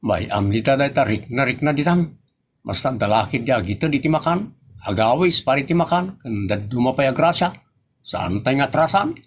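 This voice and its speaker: A man's voice: mid-range voice, animated, moderate pace, husky. A man with an Ilocano accent acting as a brash, shameless grown son in a comedy audio drama, cheeky and argumentative.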